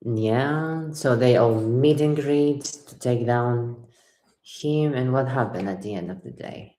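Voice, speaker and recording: Slow, echoey woman tarot reader. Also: a bass voice, dark tone. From Ecuador. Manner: reads viewer comments aloud and reacts, repeating phrases. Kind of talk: live-stream chat with viewers. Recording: echoey room.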